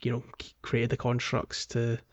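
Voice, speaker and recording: Guy podcasting about games, mid pitched voice, rambly and casual, bit lo-fi sound. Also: monotone, moderate pace. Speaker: podcast host. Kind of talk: casual game discussion podcast.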